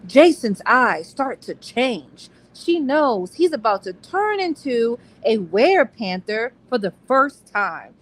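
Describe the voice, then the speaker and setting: A woman co-hosting a casual book discussion in a playful, slangy, joking way. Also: high voice. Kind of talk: casual book club chat, some synopsis reading.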